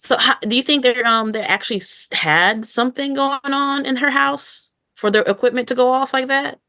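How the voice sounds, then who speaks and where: A woman hosting a casual podcast review chat, rambling along in an animated way and acting out imagined lines. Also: high-pitched, moderate pace, no room sound. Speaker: podcast host.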